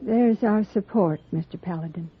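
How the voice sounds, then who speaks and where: An actress playing an embattled, dutiful frontier schoolteacher in an old-time radio Western, with a husky voice. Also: female voice, medium pitch, normal speed, over music, natural voice. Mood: bitter, discouraged. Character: embattled frontier schoolteacher woman, disheartened yet stern and dutiful. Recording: dull, muffled old radio recording.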